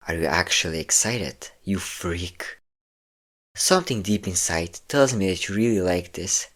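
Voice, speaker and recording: A man's voice: low-pitched, fairly bright tone. A man playing a menacing, possessive captor who taunts with mocking questions in an audio roleplay drama.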